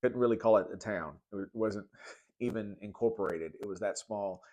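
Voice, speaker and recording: Low-pitched American man, conversational. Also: normal speed, darkish tone, low-quality recording. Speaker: host of a church read-along. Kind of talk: informal devotional talk with personal storytelling.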